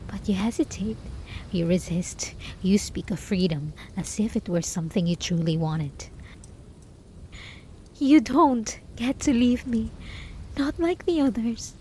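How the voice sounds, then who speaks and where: A woman's voice: mid-range voice, animated, a little slow, darkish tone, slight background noise, lightly acted, low-quality recording. A woman playing a lonely, obsessive hermit in an intimate roleplay monologue, pleading desperately with sighs and whispers. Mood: anguished, possessive. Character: lonely, obsessive, lovesick hermit begging a traveler to stay.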